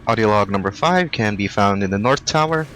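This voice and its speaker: A man narrating a video game walkthrough, giving brisk, matter-of-fact directions to collectibles. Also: lowish voice, animated, fairly bright tone, a little hiss or hum, low-quality recording. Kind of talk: walkthrough voice-over.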